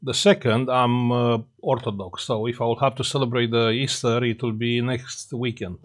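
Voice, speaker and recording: A man from Romania with a Romanian accent, speaking English as a second language and hosting a casual live stream. He pauses often on fillers while greeting viewers and answering their comments. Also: mid-range voice, little pitch movement.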